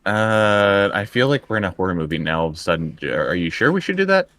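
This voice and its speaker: A man's voice: lowish voice, big pitch swings, brisk, a bit bright. A man playing a tabletop role-playing game, chatting casually and often stammering as he searches for words. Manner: hesitant, stammering over words. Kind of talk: casual improvised game chat.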